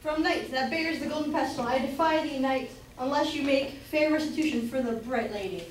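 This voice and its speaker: A woman acts a knight's squire in an old stage comedy, formal and defiant. The voice is high and distant in a very echoey room. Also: a little fast, slight background noise, lightly acted. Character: formal, defiant male squire bearing a challenge. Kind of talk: amateur staged reading of Jacobean comedy.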